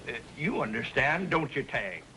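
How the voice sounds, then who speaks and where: An actor playing a boastful, excitable old prospector grandfather with a folksy American drawl in a 1950s television western. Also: mid-range voice, moderate pace, a little hiss or hum. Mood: defensive. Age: older. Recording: old TV soundtrack with background music.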